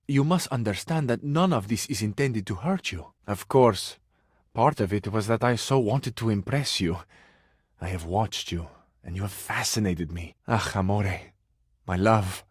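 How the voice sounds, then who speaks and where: A man's voice: fairly low pitch, dry recording, studio quality. A man voicing a passionate, suave Italian cabinet for a video game, with florid, theatrical delivery and an Italian accent. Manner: florid, dramatic, lingering on words. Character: passionate, melodramatic, romantic Italian cabinet, male, suave dreamer.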